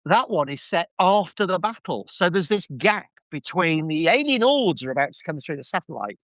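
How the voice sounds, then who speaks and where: A man's voice: high voice, big pitch swings, normal speed, a bit bright, dry recording. A man chatting casually as a podcast guest, giving an enthusiastic fan review full of rambling asides.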